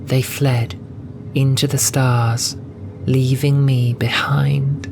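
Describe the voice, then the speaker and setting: A man voicing a gentle young female cat nun in an audio drama, soft and wistful, with earnest storytelling. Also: mid-range voice, little pitch movement, takes it slowly, creaky, background noise, voice pushed up. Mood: rueful, bitter. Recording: music bed under voice. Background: music.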